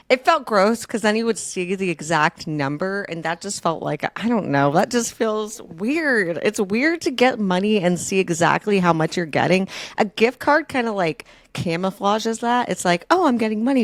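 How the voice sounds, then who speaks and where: A woman's voice: fairly low pitch, no room sound. A woman chatting casually as a podcast co-host, telling stories in a rambling, self-deprecating, comedic way. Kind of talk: casual comedic storytelling among friends.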